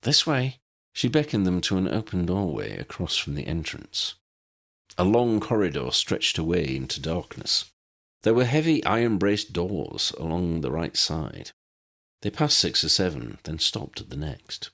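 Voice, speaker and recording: Deep raspy guy reading an audiobook story, lots of rise and fall. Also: very animated, a little slow, a bit bright. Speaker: audiobook narrator. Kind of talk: scripted story narration.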